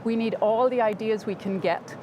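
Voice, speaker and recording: A woman's voice: fairly high pitch, moderate pace, dull audio. A woman hosting a livestreamed interview series, introducing her guest and asking questions in an upbeat, fluent presenter manner. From Ireland. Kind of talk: scripted host introduction and questions. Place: outdoors in a city. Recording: outdoor city noise behind.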